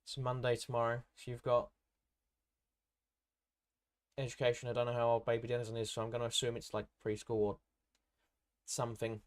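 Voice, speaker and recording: A man's voice: mid-range voice, monotone, a little fast, pauses often. A man hosting a casual live stream, rambling in an informal, matey way as he hops between viewer comments. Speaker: livestream host and content creator.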